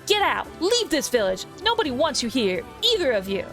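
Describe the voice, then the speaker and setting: A woman's voice: medium pitch, animated, moderate pace, soft background music, lightly acted. A woman voicing a cruel, boastful, chubby village bully boy who sneers and whines. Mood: shrieking, furious. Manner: sneering, whining when hurt.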